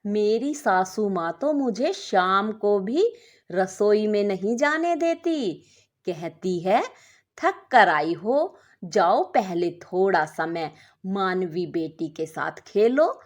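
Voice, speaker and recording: A woman storyteller voicing a modest, contented young working wife, speaking gently and earnestly. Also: medium pitch, sing-song, slow pace. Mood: earnest, fond.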